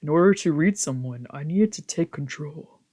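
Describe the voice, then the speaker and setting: A casual game streamer with a mid-pitched voice. They read story text aloud and break off into loose, sweary commentary and exclaimed reactions. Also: animated, normal speed, mellow. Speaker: streamer doing a let's play.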